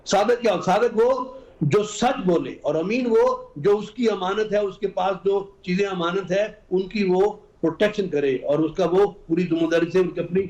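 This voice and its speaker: A man's voice: high voice, moderate pace, darkish tone, muffled recording. A man with a Pakistani accent arguing emphatically as a political talk show guest, often repeating himself and dropping in English phrases. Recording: roomy, echoing sound.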